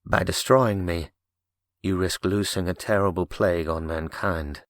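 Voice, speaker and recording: A man voicing a calm, clinical male android in an audiobook, speaking in a measured, formal, detached way. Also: low-pitched, no room sound, clean studio sound. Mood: cold, detached. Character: calm, clinical male android science officer, coldly logical. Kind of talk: audiobook character acting.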